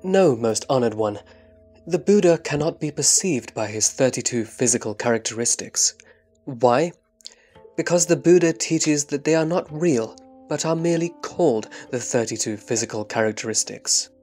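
A man's voice: mid-range voice, animated, normal speed, darkish tone, faint music behind, close to an everyday voice. A man playing a devout, reverent disciple, earnest and formal, in a scripted sutra audio drama. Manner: earnest, respectful, formal delivery. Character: Subhuti, reverent, devout male disciple of the Buddha.

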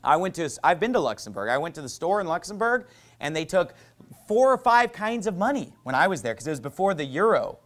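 A man in his thirties with a slightly high voice preaches in a small church. He talks fast and loosely, with asides and lots of gestures, close on a lapel mic. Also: very animated, a bit bright. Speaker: pastor. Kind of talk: sermon. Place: small church stage with painted backdrop, indoors.

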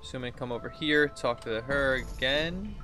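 A man's voice: medium pitch, normal speed, music in the background. A man in his thirties giving casual, rambling let's play commentary, thinking aloud as he plays. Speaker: gaming video host. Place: indoors at a computer desk.

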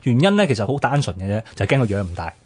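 A man's voice: medium pitch, big pitch swings, very fast, slightly rough. A man with a Hong Kong Cantonese accent explaining history in colloquial talk as a radio co-host.

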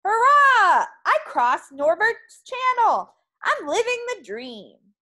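Woman voicing an eager, high-pitched little storybook pig. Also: slow, heavily acted. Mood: excited. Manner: exclamatory, earnest, excitable. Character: eager, big-dreaming young male pig in a storybook.

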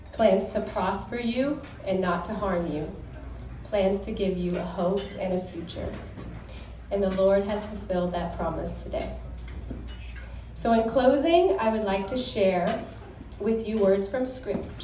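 A young woman delivers a sincere, devout wedding toast at a medium pitch. Her voice carries from a distance through a reverberant reception hall. Faint clinking of dishes sits low in the background. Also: mellow, a little hiss or hum. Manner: earnest, heartfelt, devout. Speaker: groom's sister giving a wedding toast. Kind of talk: formal wedding toast with scripture readings. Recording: echoey hall recorded from far off.

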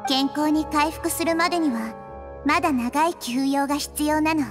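A woman voicing a gentle, wise, child-sized goddess in an anime-style game, speaking in a calm, measured and reflective manner. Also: high voice, music in the background, lightly acted. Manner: measured, reflective, softly feminine speech.

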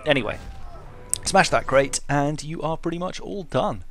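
A man's voice: fairly high pitch, normal speed, soft background music. A man giving an offhand, chatty game walkthrough voice-over, with self-deprecating asides. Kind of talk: casual walkthrough commentary.

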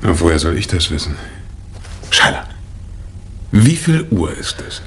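An actor playing a cool, wry amateur detective in a 1960 radio mystery, with dry, theatrical banter. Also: male voice, low-pitched, sing-song, unhurried, a bit bright, heavily acted. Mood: guarded, curt. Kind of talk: 1960 German radio mystery play acting. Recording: vintage vinyl radio play, noticeable surface noise.

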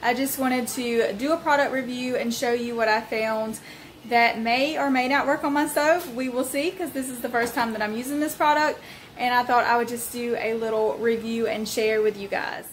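A woman's voice: fairly high pitch, steady tone, normal speed, a little hiss or hum. A woman, casually reviewing a cleaning product in her home kitchen, talking chattily with frequent ums into a phone held at arm's length. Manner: chatty and friendly, many ums. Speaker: home vlogger.

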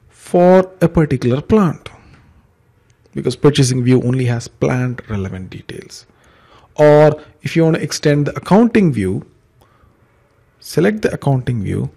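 Male instructor, mid-pitched, fry-heavy voice, conversational, explanatory, step-by-step tutorial narration. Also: warm tone. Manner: explanatory, conversational, uses examples. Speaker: software trainer, instructor.

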